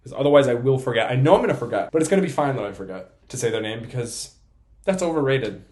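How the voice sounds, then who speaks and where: A young man chatting casually to camera as a vlogger, playful and self-deprecating in an excitable way. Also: medium pitch, quick pace, roomy sound. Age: young adult. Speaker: vlogger and college program applicant.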